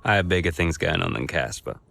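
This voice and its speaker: An actor playing a cocky racing driver, talking in a smug, breezy, boastful way in a game cutscene interview. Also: male voice, low voice, a little fast, vocal fry, very noisy. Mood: dismissive. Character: cocky, self-satisfied male Formula One racing driver.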